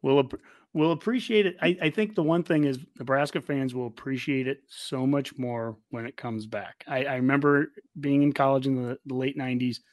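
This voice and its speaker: A man's voice: medium pitch, fairly even intonation, moderate pace, darkish tone, no room sound. An American man in his forties hosting a sports fan podcast in casual chat, rambling along enthusiastically. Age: 40s.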